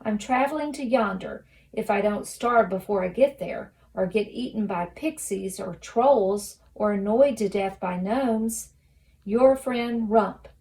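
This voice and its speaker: A woman's voice: mid-range voice, a little slow, darkish tone, close to an everyday voice. Woman reading as a wry, irritated storybook boy.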